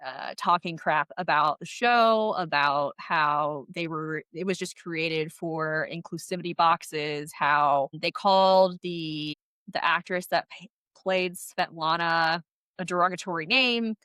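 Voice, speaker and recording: A woman co-hosting a casual podcast chat, chatty and animated, sounding stuffy from a head cold. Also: deep voice, slow pace, clear, resonant tone, no room sound. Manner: chatty, animated, laughs often. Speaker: podcast co-host.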